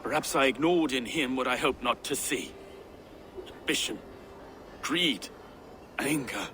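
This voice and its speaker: An actor playing a solemn, reflective nobleman who speaks gravely about power and betrayal. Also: medium pitch, unhurried, noisy background, lightly acted.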